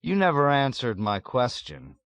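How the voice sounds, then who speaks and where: A man voicing a weary, wry adult male soldier who talks slowly and musingly. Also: mid-range voice, very animated, gravelly, a bit bright, no room sound, voice pushed up, full character voice. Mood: drowsy.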